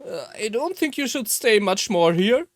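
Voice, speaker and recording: A man's voice: high-pitched, big pitch swings, moderate pace, no room sound, voice pushed up. A man voicing a hesitant, considerate host character in a visual novel, speaking politely. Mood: nervous, helpful.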